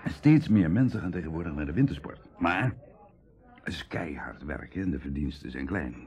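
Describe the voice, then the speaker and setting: An actor playing a proud, hard-up aristocratic bachelor in a radio drama, confiding and passionate, with emotional, dramatic delivery shifting from hushed to outbursts. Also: male voice, rumbling low, expressive, normal speed, faint music behind. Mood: earnest, confessing. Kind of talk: Dutch radio drama acting.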